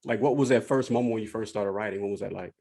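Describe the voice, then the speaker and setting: A man's voice: lowish voice, fast talker, mellow. A man hosting a podcast, chatting casually and encouragingly as he interviews, often repeating short affirmations and phrases.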